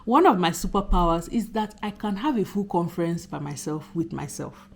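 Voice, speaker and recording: A woman in her thirties with a slightly husky, croaky voice from a recent cold, chatting earnestly to her subscribers in a casual vlog at home. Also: fairly low pitch, moderate pace, slight background noise. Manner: earnest, chatty, emphatic repetition. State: recovering from a cold. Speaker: YouTuber talking to subscribers. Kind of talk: casual reflective vlog monologue.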